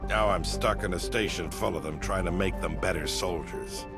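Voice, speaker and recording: An actor playing a gruff, battle-hardened older military commander in a video game, blunt and dry as a mentor. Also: male voice, deep voice, moderate pace. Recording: game music behind the voice.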